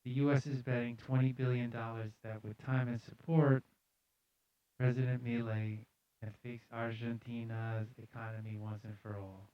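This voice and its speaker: Man, mid-pitched voice, casual news commentary, reading aloud, sardonic, jokey, slangy, dull lo-fi recording. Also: little pitch movement, moderate pace, smooth, no room sound. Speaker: host of a live news show.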